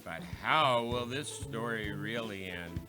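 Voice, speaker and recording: A man announcing in an old-time radio style, reading scripted lines in a theatrical, measured manner. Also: fairly low pitch, lively intonation. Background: music.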